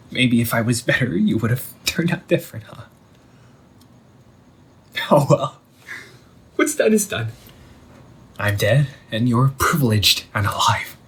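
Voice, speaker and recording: A man playing a vengeful undead older brother in an audio roleplay, menacing yet wounded, speaking in a theatrical, taunting way with drawn-out pauses. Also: mid-range voice, fairly bright tone, husky, full character voice. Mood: wounded, resigned. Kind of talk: scripted audio roleplay monologue addressed to the listener.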